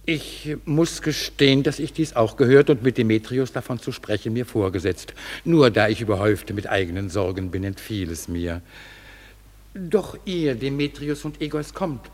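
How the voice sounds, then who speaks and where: An actor playing a stately, authoritative duke who passes judgement in measured declamation in a classical verse radio drama. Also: male voice, mid-range voice, lively intonation, darkish tone, lightly acted. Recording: hall-like reverb.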